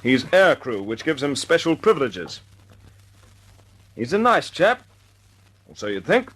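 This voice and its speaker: A clipped, commanding squadron leader. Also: male voice, on the high side, sing-song, a little slow, a bit bright, breathy edge, heavily acted, low-quality recording. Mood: stern. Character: stern RAF wing commander Gibson, young man. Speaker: squadron leader addressing aircrew.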